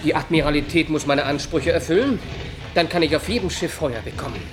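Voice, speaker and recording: An actor in a German radio play voicing a confident, earnest young adventurer and suitor, reassuring in manner. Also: male voice, on the high side, brisk, close to an everyday voice.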